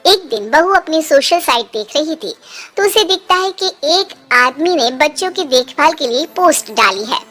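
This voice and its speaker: Very high, bright woman storyteller. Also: lively intonation, normal speed, faint music behind. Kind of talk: scripted cartoon moral story narration.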